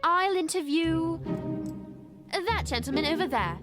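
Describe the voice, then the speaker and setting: A woman voicing a prim, argumentative teenage girl reporter in an animated history series, formal and indignant in manner. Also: extremely high pitch, unhurried. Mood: prim, brisk. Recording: loud music bed under dialogue.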